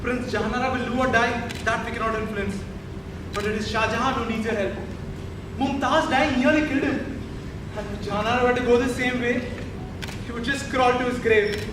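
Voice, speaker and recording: High-voiced courtier, echoing stage. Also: male voice, fairly even intonation, a little fast. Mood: confiding, grave. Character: dutiful, chatty royal palace attendant. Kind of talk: theatrical stage acting. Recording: echoey stage, distant mic, noisy.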